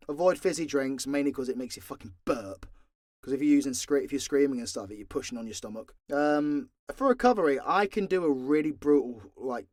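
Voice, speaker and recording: A man's voice: mid-range voice, darkish tone, no echo, studio quality. A man chatting casually and off the cuff as an audio creator answering questions, blunt, informal and sweary. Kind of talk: casual, unscripted Q&A chat.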